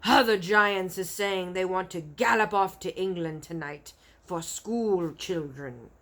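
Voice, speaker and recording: A woman's voice: lowish voice, unhurried, fairly bright tone. A woman voicing a brutish man-eating male giant in a storybook, roaring and gloating in mangled grammar. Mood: gloating, boastful.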